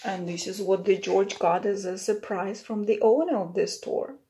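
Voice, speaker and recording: A woman's voice: mid-range voice, steady tone, normal speed, dark tone. A woman in her thirties or forties reading a picture book to children as a teacher, with simple explanatory asides.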